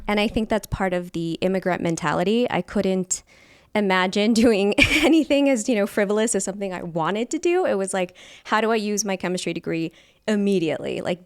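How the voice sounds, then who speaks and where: Candid, chatty woman, smooth voice. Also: mid-range voice, no echo, clean studio sound. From California, USA. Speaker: guest, fermentation specialist. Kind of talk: casual interview chat.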